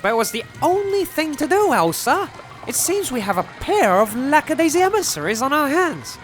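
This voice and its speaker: A performer playing a wry, sardonic cat undertaker and bootlegger in an audio drama, drawling out dark jokes. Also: sits high, very animated, moderate pace, soft background music. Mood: matter-of-fact. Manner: wry, drawling, darkly joking.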